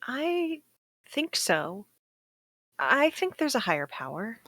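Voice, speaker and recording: A woman plays a naive space probe that has only just woken up. The voice is high and very lively in pitch, recorded dry and close. The delivery is earnest, shy and hesitant. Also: takes it slowly, no echo, heavily acted. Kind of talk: table read of a science fiction story.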